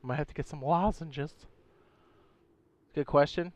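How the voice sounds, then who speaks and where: Hoarse man on a casual game stream. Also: fairly high pitch, measured pace, darkish tone. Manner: laid-back, slangy, frequent throat clearing. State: hoarse, sore throat, losing his voice. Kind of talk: casual live-stream chat, reading viewer comments.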